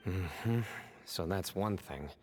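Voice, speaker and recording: An actor playing a stoic young guardian in a video game, grave and reflective with a deadpan, deliberate delivery. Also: male voice, deep voice, slight background noise. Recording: long reverb, music underneath.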